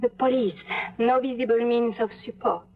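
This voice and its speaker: A woman's voice: on the high side, normal speed, faint music behind. An actress voicing an anxious young refugee woman with a Romanian accent, speaking formal careful English in a 1950s radio drama. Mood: pleading. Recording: tinny old radio broadcast.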